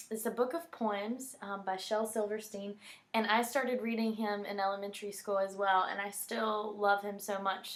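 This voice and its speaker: A woman speaking affectionately to a young child, as in a personal bedtime message with expressive readings of children's poems, animated and sing-song in the verse. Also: mid-range voice, roomy sound.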